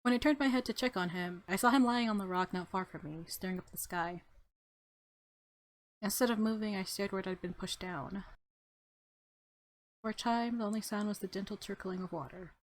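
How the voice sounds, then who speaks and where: A woman's voice: mid-range voice, normal speed, pauses often. A woman narrating a visual novel aloud in the first person, expressively, as a let's player.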